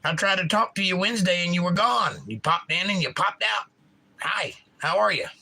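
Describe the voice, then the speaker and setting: A middle-aged man with a Georgia accent, hosting a casual live chat and reading out viewer comments, enunciating crisply in an animated, opinionated way. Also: high voice, normal speed. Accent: Georgia, US South. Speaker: livestream host.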